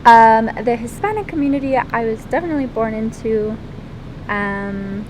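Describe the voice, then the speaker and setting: A teenage girl answering interview questions at a middle pitch, stopping often to think with ums and likes. A loud, steady rumble runs under her voice throughout. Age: teens. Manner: hesitant, many ums and likes. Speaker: interviewee, lifeguard and swimmer. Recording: loud rumbling background noise.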